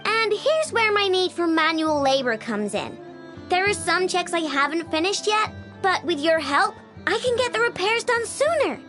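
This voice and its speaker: A cheeky little girl inventor in a video game. The voice is extremely high and lively, childlike, breezy and full of boastful self-assurance. Music plays clearly behind it. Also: moderate pace, exaggerated voice. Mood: breezy, confident.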